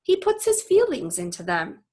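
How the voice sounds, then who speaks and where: A woman's voice: mid-range voice, huge pitch swings, normal speed, warm tone. A woman voicing a kind, cheerful young girl in a storybook, with a light, friendly manner. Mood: fond.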